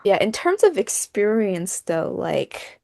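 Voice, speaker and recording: Creaky-voiced woman chatting as host. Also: medium pitch, big pitch swings, slow pace, warm tone, no room sound. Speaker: podcast host and fandub team member. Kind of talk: casual chat.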